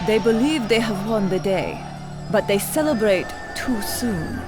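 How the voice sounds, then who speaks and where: A proud military commander in a war game cutscene. She speaks gravely and formally at a medium pitch. Very loud music swells behind her. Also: animated, measured pace. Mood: indignant, resolute. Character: stern, indignant military commander, proud and vengeful. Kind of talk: dramatic game cutscene acting.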